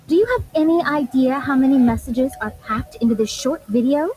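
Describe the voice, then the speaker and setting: A woman's voice: sits high, fairly quick, warm tone, natural voice, poor audio. A woman playing a proud, aloof woman in a video game, speaking in a haughty, reserved and terse way. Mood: defensive. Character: proud, aloof woman guarding her channel. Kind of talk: video game cutscene voice acting.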